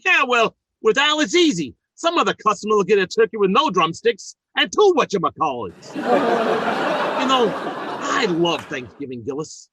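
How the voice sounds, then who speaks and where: Folksy working-class radio sitcom dad, bright forceful high voice, sentimental, blustery, bad grammar. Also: male voice, sing-song, normal speed, breathy edge, dry recording, heavily acted. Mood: jovial, sentimental. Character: good-hearted, blustery working-class family man and father. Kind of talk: old-time radio comedy acting.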